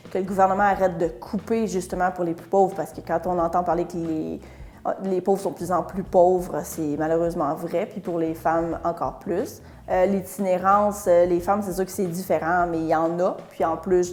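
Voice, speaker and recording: Low-pitched woman speaking earnestly. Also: fairly even intonation, moderate pace, darkish tone. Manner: earnest, plain-spoken. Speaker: feminist activist. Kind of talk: personal testimony.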